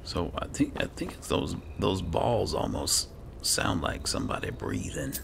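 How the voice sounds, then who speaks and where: A man murmuring low-key game commentary, thinking aloud and reading bits as he plays. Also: sing-song, a little slow, creaky, background noise. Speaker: gamer host playing and roleplaying a fantasy game. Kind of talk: casual game commentary with read-aloud notes.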